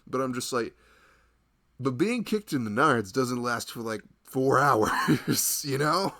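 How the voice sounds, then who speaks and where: A man playing a loving, protective husband in an intimate ASMR roleplay, soft-spoken and chatting in a rambling, casual way. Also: medium pitch, animated, slow pace, heavily acted. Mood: amused, indignant.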